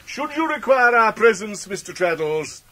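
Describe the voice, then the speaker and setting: A male actor playing a pompous, grandiloquent middle-aged English gentleman in a radio drama, with orotund, deliberate phrasing. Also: high-pitched, sing-song, heavily acted. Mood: grandly formal. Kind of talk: 1990s radio drama acting.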